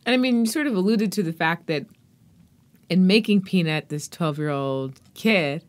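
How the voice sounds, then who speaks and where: Female interviewer for a books podcast, slightly low voice, conversational delivery. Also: expressive, moderate pace.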